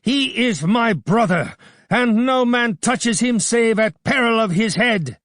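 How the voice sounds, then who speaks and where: A man's voice: very high voice, expressive, slow, a bit bright, no room sound, studio quality, voice pushed up, heavily acted. A man voicing a proud, fierce young warrior who speaks wrathfully and defiantly.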